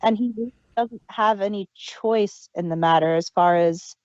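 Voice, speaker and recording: A woman's voice: mid-range voice, moderate pace, a little mellow, dry recording, highs cut off. A woman co-hosting an audio drama review podcast, talking in a thoughtful, earnest way. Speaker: podcast co-host. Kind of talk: casual review chat.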